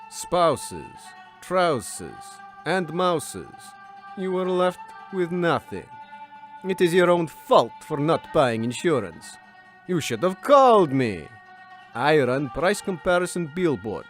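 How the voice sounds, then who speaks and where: A man's voice: fairly high pitch, faint music behind. Menacing weasel salesman. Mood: deadpan sales pitch. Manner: deliberate broken-English threats, deadpan. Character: menacing male weasel insurance salesman, blunt and shady. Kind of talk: parody radio advert.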